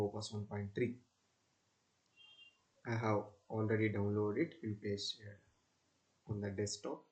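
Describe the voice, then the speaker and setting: Man narrating a halting step-by-step tutorial. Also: lowish voice, a little mellow.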